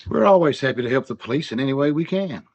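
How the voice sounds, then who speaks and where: A man's voice: mid-range voice, expressive, fast talker, a little mellow, dull audio, lightly acted. An actor playing a polite, accommodating male school official.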